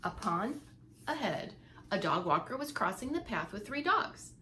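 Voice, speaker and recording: A woman narrating a children's story aloud like a preschool teacher, with animated, dramatic emphasis. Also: medium pitch, unhurried, a little hiss or hum.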